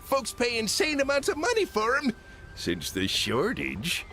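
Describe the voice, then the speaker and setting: A man voicing a greedy, scheming cartoon crab with a gravelly voice and a folksy, shifty, blustering manner. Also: extremely high pitch, huge pitch swings, a little slow, bright, forceful tone, full character voice. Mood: sly. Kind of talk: animated game cutscene acting.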